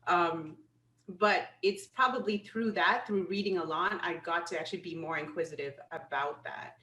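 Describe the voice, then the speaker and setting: A woman being interviewed as a guest, telling lively stories and laughing often. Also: lowish voice, moderate pace. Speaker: guest, business attorney. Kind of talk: casual interview chat. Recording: roomy with faint ambience.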